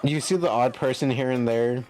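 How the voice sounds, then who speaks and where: A man speaking casually and haltingly as game master, improvising narration for a tabletop role-playing game. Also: mid-range voice, a little mellow, low-quality recording.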